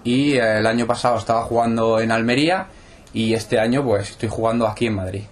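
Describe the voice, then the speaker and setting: A man's voice: medium pitch, a little fast. Young man with a southern Spanish lilt. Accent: Andalusian-tinged Spanish. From Spain. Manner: steady, explanatory, with frequent hesitation fillers. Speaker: interviewed footballer. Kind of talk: casual interview answers.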